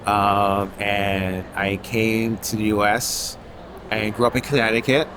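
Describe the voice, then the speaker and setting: A man's voice: fairly low pitch, little pitch movement, takes it slowly, noisy background. A man from Haiti, an artist, talking loosely in an interview at an outdoor art fair. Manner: loose and rambling, leaning on filler phrases. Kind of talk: casual interview.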